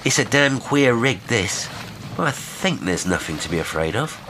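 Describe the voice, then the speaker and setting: A man voicing a blunt, practical sailor in a sea story, talking briskly and colloquially. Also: mid-range voice, bright, forceful tone, a little hiss or hum, pitched above the performer's own voice. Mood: brisk, persuading. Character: bold, practical male sailor, blunt and reassuring.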